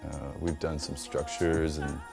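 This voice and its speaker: An American man casually showing people around as a workshop member, speaking in a halting, hesitant way. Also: rumbling low, flat delivery, vocal fry, over music. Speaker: community workshop member giving a tour. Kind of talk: casual informal explanation.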